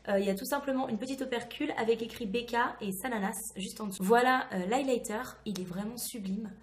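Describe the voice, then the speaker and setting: Hoarse, high-voiced woman vlogger. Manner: chatty, enthusiastic. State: hoarse, recovering from lost voice. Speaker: beauty vlogger. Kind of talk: casual product review to camera. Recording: echoey, reverberant room.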